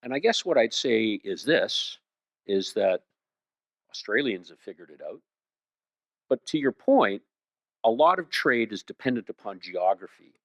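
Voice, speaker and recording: A man with a Canadian accent speaking at a conference in a measured, conversational way as he answers questions. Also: fairly low pitch, big pitch swings, a bit bright. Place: indoor conference room. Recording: roomy, dull lo-fi sound.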